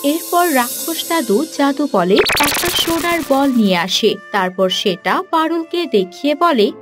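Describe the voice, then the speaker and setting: A woman's voice: high-pitched, expressive, measured pace, over loud music. A woman narrating a scripted fairy tale as the storyteller of a cartoon.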